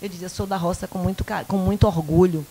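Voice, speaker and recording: A woman in her fifties from the Bahia countryside with a Bahian Brazilian accent, a guest in a small local studio interview, telling lively personal stories into a handheld mic and acting out the dialogue. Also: on the low side, fast, slight background noise. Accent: Bahian Brazilian Portuguese. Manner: animated, acts out quoted dialogue, frequent "né?". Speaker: guest, event producer. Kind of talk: casual interview storytelling.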